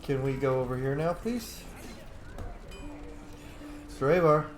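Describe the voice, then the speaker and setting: A man's voice: mid-range voice, animated, slow, background noise. Man casually commentating a game, roomy echo. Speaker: gaming streamer. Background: faint game music.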